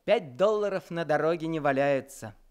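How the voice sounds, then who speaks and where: A man's voice: fairly high pitch, lively intonation, moderate pace, smooth, natural voice. A man narrating in the first person as a young man looking back on his life in an old Soviet radio play. He tells the story in a reflective, wistful way.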